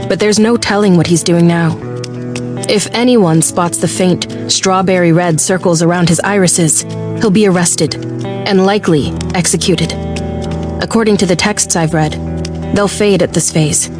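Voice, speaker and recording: An actress playing a determined, anxious young military cadet, narrating a fantasy audiobook drama in the first person. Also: female voice, fairly low pitch, normal speed, natural voice. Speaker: lead narrator. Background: music.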